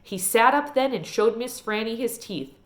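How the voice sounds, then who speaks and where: A woman narrating a children's novel aloud as an expressive storyteller. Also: fairly high pitch, moderate pace.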